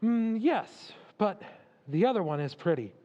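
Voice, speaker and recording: A polite tutor played by a man in an old-time radio drama. The voice is high and very lilting, with proper, careful phrasing and a gently cautioning manner. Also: very animated, a little slow, lo-fi sound. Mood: indulgent.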